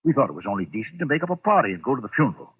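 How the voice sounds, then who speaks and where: A man's voice: medium pitch, very animated, rapid-fire, breathy edge. An actor playing a pompous, snobbish middle-aged businessman with a haunted streak, clipped and imperious, in old-time radio drama style. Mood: matter-of-fact. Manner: imperious, clipped, self-important. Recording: noisy, tinny vintage radio recording.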